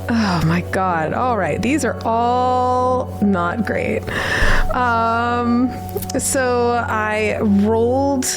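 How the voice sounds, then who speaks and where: A woman playing a tabletop game, chatting casually and hesitantly with many fillers. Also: a little high. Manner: unhurried, hesitant, lots of fillers and laughs. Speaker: player. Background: music.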